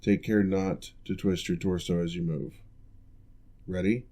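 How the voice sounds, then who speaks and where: A man voicing a calm, formal male orc who is a former general turned physical therapist, speaking in measured, reassuring ASMR roleplay. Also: sits low.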